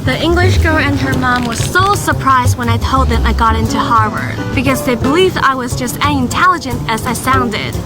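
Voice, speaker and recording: A young woman whose first language is Chinese reads a wry personal story in English. Her voice sits high, and the room rings with echo. Music plays underneath her. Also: animated, normal speed, hardly any pauses. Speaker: blogger. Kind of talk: scripted storytelling narration. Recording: echoey room with background music.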